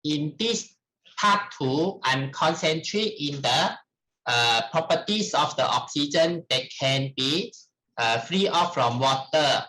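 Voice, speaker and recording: A middle-aged man with a Malaysian accent gives a formal academic presentation in a halting, deliberate manner. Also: fairly high pitch, lively intonation, pushed and bright, rough recording. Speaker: researcher presenting at a conference.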